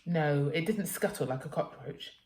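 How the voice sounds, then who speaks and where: A woman narrates a children's storybook in a fairly low voice. She reads dramatically, leaning on key words for young listeners. The room around her is noticeably echoey. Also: normal speed, darkish tone. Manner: dramatic, emphatic reading for children. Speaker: teacher reading a children's story aloud.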